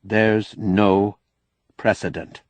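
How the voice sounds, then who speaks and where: A man's voice: on the low side, flat delivery, drawn-out words, no room sound. A man voicing a younger, officious protocol official, polite and hesitant, in an audiobook novel. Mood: taken aback. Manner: polite, apologetic, hesitant, fussy.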